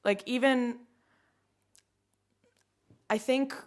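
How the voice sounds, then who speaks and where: A woman's voice: on the high side, fairly even intonation, drawn-out words. A young woman with an American accent, chatting reflectively as a podcast guest and often saying "like" and "um". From United States. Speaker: podcast guest, climate activist. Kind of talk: casual conversation.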